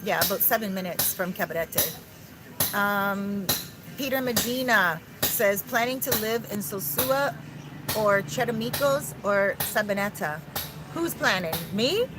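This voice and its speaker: Woman livestreamer, casual and chatty, phone mic outdoors, loud music behind. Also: mid-range voice, expressive, slow pace, poor audio. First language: English. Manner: chatty, reads viewer messages aloud, mixes in simple Spanish. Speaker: vlogger on a livestream. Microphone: handheld phone.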